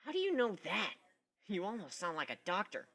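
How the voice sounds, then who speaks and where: A brash griffin huntress , as a man reads her in a story. She talks in a casual, teasing way, sarcastic yet curious, with a bright edge and an animated swing in pitch. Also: brisk, full character voice.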